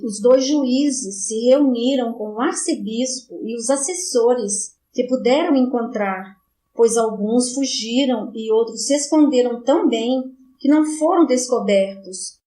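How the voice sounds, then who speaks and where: A woman reads an audiobook in the first person, slowly and solemnly. Her voice sits a touch high, and the recording has a roomy echo. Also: mellow. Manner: slow, measured, solemn reading. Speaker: audiobook narrator. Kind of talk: scripted first-person narration.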